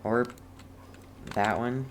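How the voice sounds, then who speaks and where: Very low-voiced woman, slow gameplay commentary. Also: steady tone, a little hiss or hum. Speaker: gameplay streamer. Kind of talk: casual game playthrough commentary, reading dialogue aloud.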